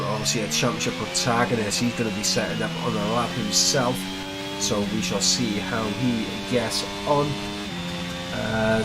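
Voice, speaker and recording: Man, race commentator, voice still weak after illness, restrained delivery, loud backing music. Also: medium pitch, poor audio. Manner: measured, holding back excitement to spare his voice. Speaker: sim racing commentator. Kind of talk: live play-by-play commentary.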